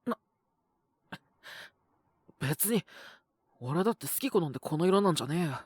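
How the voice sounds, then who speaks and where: A man's voice: a little high, animated, moderate pace, breathy edge, no echo, clean studio sound. A man voicing a sullen, possessive young wolf beastman with a cold, speaking in blunt, curt grumbles in an audio roleplay. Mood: annoyed, defensive. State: sick with a cough, acted. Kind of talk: Japanese audio roleplay voice acting.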